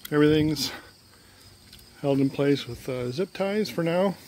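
A man's voice: fairly high pitch, measured pace, slight background noise. Easygoing man narrating a garage build. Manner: relaxed and plainspoken, with frequent ums and uhs. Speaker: woodworking hobbyist filming his canoe build. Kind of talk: casual, unscripted workshop vlog. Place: home garage and driveway, outdoors at times.

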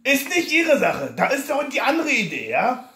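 An officious, bumbling caller with an extremely high voice that swings animatedly up and down. He stammers and repeats himself earnestly. The room echoes around him, with faint noise. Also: huge pitch swings, moderate pace, a crowd in the background, full character voice. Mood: insistent. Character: earnest, bumbling high-voiced German male TV production contact. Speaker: prank caller. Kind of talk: prank phone call. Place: living room sofa, indoors. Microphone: camera at short distance in room.

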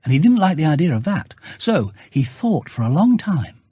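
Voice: mid-range voice, big pitch swings, moderate pace, dark tone, slightly rough, dry recording, studio quality. A narrator reading a children's storybook in a scripted storytelling style.

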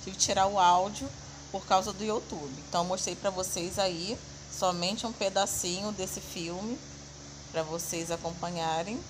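Woman in her thirties from Brazil, chatty home vlogger, mid pitch, noisy phone recording. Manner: chatty, keeps calling viewers "família". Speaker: family vlogger. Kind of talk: casual home vlog narration. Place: home kitchen and dining room.

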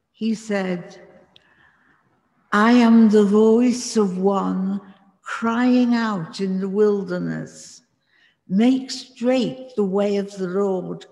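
An older reader slowly reading the gospel aloud in a church hall, measured and giving the dialogue its own voices. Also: medium pitch, creaky, a little mellow. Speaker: scripture reader. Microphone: far camera, lectern. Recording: long echo in hall from far camera.

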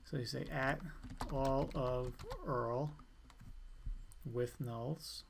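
A man giving an informal step-by-step software tutorial in a plain, instructional way. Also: mid-range voice, fairly even intonation, extremely slow, creaky, slight background noise. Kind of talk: step-by-step screencast walkthrough, informal.

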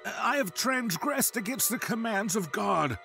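A man's voice: high voice, animated, unhurried, vocal fry, faint music behind, voice pushed up, exaggerated voice. A man voicing a proud but fearful king who bluffs, protests and pleads. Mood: pleading. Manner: defensive, wheedling, rising to shouts. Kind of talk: dramatized scripture reading.

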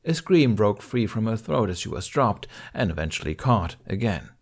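A man reading fiction aloud as an audiobook narrator, with expressive scripted delivery. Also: on the low side, moderate pace, gravelly, slightly rough.

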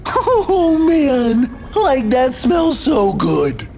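A man playing a goofy, cowardly, always hungry young slacker in a cartoon video game, with a reedy, cracking voice and slangy, drawn-out talk peppered with "like". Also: extremely high pitch, huge pitch swings, a little mellow, a little hiss or hum, full character voice. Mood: hopeful. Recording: background music under dialogue.

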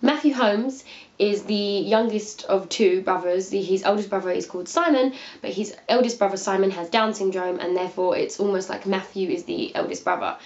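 A woman's voice: medium pitch, normal speed, muffled recording. A young woman in her twenties with a sniffly cold, rambling casually through a book review in her living room. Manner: rambling, chatty, many fillers and restarts. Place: living room by a window seat, indoors.

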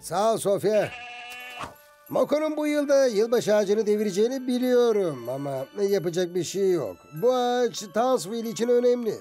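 A mellow, elderly cartoon mayor. He is silly, earnest and determined, with music underneath. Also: sits high, expressive, normal speed. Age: older. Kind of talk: cartoon dub acting.

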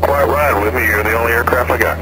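A man's voice: mid-range voice, fairly even intonation, quick pace. A man working as an air traffic controller, speaking to a pilot over aviation radio. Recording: radio under very loud engine noise.

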